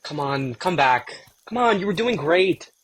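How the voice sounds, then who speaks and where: A man's voice: fairly high pitch, lively intonation, unhurried, fairly bright tone, a little hiss or hum. Weary, sardonic young male vampire. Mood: teasing. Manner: guarded, dry, grudging. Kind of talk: intimate audio drama acting.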